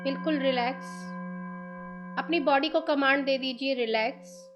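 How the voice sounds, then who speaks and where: A woman with an Indian accent guides a meditation in a soothing manner, giving instructions in short, unhurried phrases. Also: sits high, fairly even intonation, muffled recording, over music. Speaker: meditation guide, channel host.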